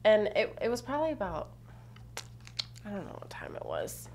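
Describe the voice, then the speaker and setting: A woman co-hosting a podcast, telling workplace stories in a blunt, animated way with a fairly low, assertive voice. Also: normal speed. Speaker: podcast co-host. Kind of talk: casual chat.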